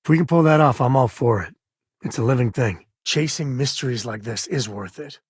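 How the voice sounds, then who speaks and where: An actor playing a rugged, wry space-frontier explorer and caring father in a video game, with a husky, raspy voice and folksy, conversational phrasing. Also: medium pitch, brisk, no room sound, clean studio sound, lightly acted. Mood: wry, earnest. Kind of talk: video game companion dialogue.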